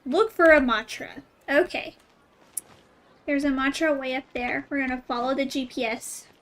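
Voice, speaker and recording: A woman's voice: high-pitched, fairly even intonation, normal speed, fairly bright tone. A woman giving casual, chatty gameplay commentary as a streamer, playfully reacting aloud and talking to herself. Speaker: video game streamer.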